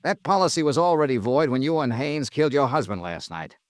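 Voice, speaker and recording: An American actor playing a cool, accusing insurance investigator in a 1950s radio drama, with a clipped, deadpan delivery. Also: male voice, mid-range voice, brisk, no room sound, close to an everyday voice. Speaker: lead actor and narrator. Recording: vintage radio drama, dry, music behind.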